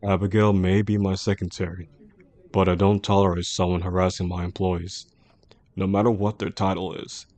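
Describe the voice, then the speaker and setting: A man playing a composed, caring CEO boss with a secretly obsessive streak in an intimate audio roleplay, speaking in a measured, formal, reassuring way. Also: sits low, little pitch movement, darkish tone, close to an everyday voice. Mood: firm, protective. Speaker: voice actor in a roleplay audio drama. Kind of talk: intimate scripted roleplay audio addressed to the listener.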